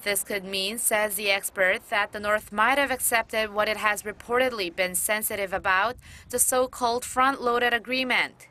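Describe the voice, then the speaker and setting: A woman reporter reading a scripted news voice-over in a measured, formal way. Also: medium pitch, fairly even intonation, bright, forceful tone.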